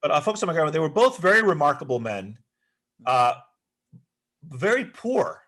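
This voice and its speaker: A man's voice: on the high side, sing-song, fairly quick, fairly bright tone. A middle-aged man, born in the United States but with Greek as his first language, speaking as a guest on a video call, thoughtful and full of restarts. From United States, raised in Greece until six. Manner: thoughtful, many fillers and restarts. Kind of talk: remote interview conversation.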